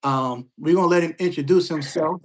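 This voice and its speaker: A man's voice: a little high, fairly quick. Laid-back slangy podcast host man. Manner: laid-back, slangy, frequent shout-outs. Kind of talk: casual interview chat.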